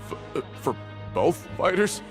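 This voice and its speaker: An actor voicing a condescending ticket seller at a fight arena in a video game. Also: male voice, fairly high pitch, very animated, unhurried. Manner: condescending, salesman-like. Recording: game audio with music. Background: game music.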